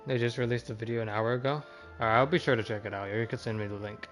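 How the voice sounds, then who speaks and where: A man livestreaming a game with casual, halting commentary as he thinks aloud. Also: mid-range voice, fairly even intonation, a bit bright, faint music behind.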